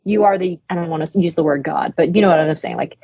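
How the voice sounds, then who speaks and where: Woman singer being interviewed, low voice, blunt and reflective, dull dry podcast sound. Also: quick pace, dark tone, breathy edge, dry recording. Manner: frank and reflective, swears casually. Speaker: musician guest. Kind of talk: candid interview talk.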